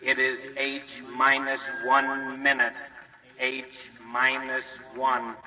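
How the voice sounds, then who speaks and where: A loudspeaker announcer in a vintage radio play, reading the countdown in a slow, even monotone. The voice is heard from far off, with a long echo trailing it. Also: male voice, medium pitch. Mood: flat. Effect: public address speaker. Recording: distant echoing loudspeaker.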